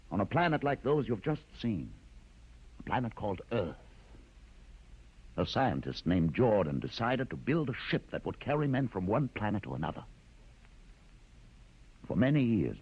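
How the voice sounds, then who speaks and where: An actor in a vintage radio drama playing a learned, commanding rebel leader with a measured, grandiose, theatrical delivery. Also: male voice, lowish voice, gravelly, husky, full character voice. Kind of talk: 1950s radio drama acting. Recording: dull, muffled vintage radio recording.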